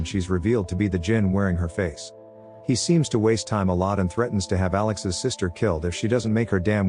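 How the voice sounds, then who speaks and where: A man's voice: low-pitched, darkish tone. A man reading a scripted film recap as narrator, with flippant, sardonic asides.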